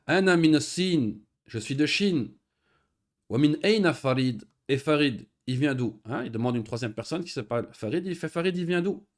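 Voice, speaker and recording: A man's voice: fairly high pitch, lively intonation. Patient man teaching Arabic in French. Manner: reads Arabic lines, then explains them in French; patient, repeats words slowly with pauses and fillers. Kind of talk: recorded online lesson.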